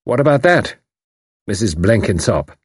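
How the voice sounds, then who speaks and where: A man's voice: lowish voice, very animated, normal speed, slightly rough, dry recording, clean studio sound. A man voicing a suave, mocking enemy commander who turns grimly threatening. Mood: mocking, smooth. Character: mocking menacing male enemy spy commander.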